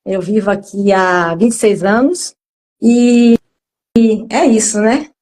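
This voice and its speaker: A woman's voice: mid-range voice, slow pace, dark tone. A middle-aged woman with a mid-range voice, a guest nutritionist. She speaks modestly and politely, with frequent small fillers. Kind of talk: live stream interview.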